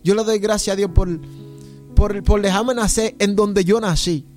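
A man's voice: high-pitched, fairly even intonation, moderate pace, darkish tone, soft background music. A Dominican man preaching an informal, testimonial sermon, fervent and exclamatory, peppered with refrains of praise. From San Juan de la Maguana, Dominican Republic.

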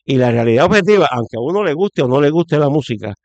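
A Puerto Rican man with a Puerto Rican accent, giving forceful opinions as a guest, with lots of fillers and restarts. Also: fairly high pitch, animated, fast, no room sound, lo-fi sound. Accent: Puerto Rican Spanish. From Puerto Rico. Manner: emphatic, many fillers and restarts. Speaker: guest commentator. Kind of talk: opinionated conversational commentary.

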